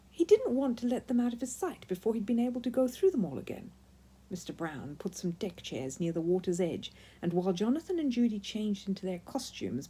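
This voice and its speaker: A woman narrating a children's story in an expressive, emphatic read-aloud style. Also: mid-range voice, husky.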